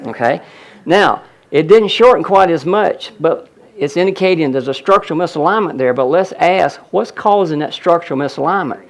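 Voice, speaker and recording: A man presenting a healing seminar, explaining a live demonstration to an audience and repeatedly pointing things out. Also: on the high side. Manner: explanatory, audience-addressing, frequent "look at that".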